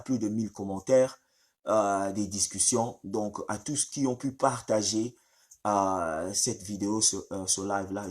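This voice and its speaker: A man with a head cold, hosting a casual live stream in a halting way with many fillers. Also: on the low side.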